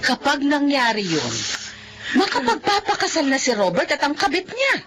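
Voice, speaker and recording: A woman's voice: high-pitched, sing-song, normal speed, fairly bright tone. An actress playing a worried mother in a radio drama, pleading and scolding her grown daughter. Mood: stern concern. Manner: pleading, stern and caring. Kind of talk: Filipino radio drama acting.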